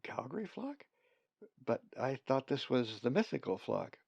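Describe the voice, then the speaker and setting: Man doing curious young raven, hesitant, low gravelly voice. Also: big pitch swings, unhurried, darkish tone, no echo, highs cut off, full character voice. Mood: puzzled. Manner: hesitant, questioning.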